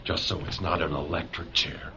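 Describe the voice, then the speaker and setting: A man's voice: very deep voice, fairly even intonation, moderate pace. An actor playing a fearful, prickly male psychiatric patient in a radio drama, guarded, suspicious and curt. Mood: defiant, suspicious. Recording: muffled lo-fi audio with clear noise.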